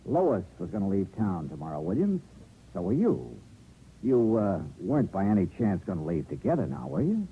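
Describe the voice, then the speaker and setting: Sardonic radio detective, tinny old recording. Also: male voice, deep voice, huge pitch swings, normal speed, a little hiss or hum, exaggerated voice. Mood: probing, dry. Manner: clipped, probing, sardonic. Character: hardboiled, shrewd male insurance investigator narrating his case. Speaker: narrator and lead detective. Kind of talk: 1950s radio detective drama acting.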